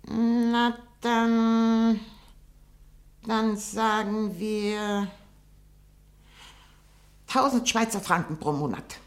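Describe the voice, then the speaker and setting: An older actress in a radio play playing a very old, shrewd, greedy and haughty spinster aunt with a cracked voice. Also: female voice, on the high side, steady tone. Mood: greedy, imperious. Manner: slow, halting, haughty and blunt. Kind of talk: 1960 German radio play acting.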